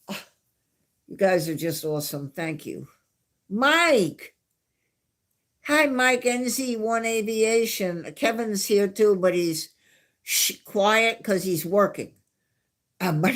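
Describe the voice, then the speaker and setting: A woman with a New York accent hosting a casual live stream, chatting in a rambling way and laughing softly now and then. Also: medium pitch, lively intonation, slow, long pauses. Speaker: livestream host.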